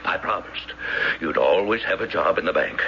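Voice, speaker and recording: A bossy, sarcastic banker in a radio play. His very low, gravelly voice swings widely as he scolds. The broadcast sounds dull and slightly hissy. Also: expressive, normal speed, pushed and bright, full character voice. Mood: exasperated. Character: exasperated, domineering banker, bossy brother. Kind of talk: old-time radio drama acting.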